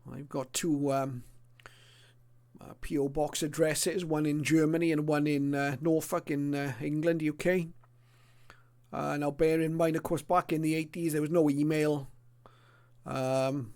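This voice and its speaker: A middle-aged or older man presenting a casual illustrated hobby talk in a hesitant, unscripted way with frequent pauses. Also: on the high side, darkish tone.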